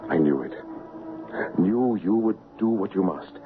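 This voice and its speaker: An actor playing a gallant, honorable, lovesick gentleman in a solemn, formal 1970s radio drama style. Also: deep voice, lively intonation, a little slow. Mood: earnest, urging. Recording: muffled old radio broadcast with music.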